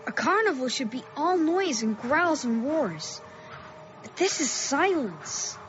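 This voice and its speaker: A woman playing a bold, defiant thirteen-year-old small-town boy in a radio drama, brash and excitable. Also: high-pitched, slow pace, heavily acted. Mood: hushed awe. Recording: dull lo-fi audio with music.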